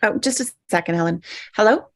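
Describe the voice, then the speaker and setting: A woman's voice: mid-range voice, animated, brisk, vocal fry, no echo. A woman playing a spirited, self-doubting woman in a comedy script read, in a natural conversational manner. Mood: uneasy. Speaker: actor in an online script table read. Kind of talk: live comedy screenplay table read.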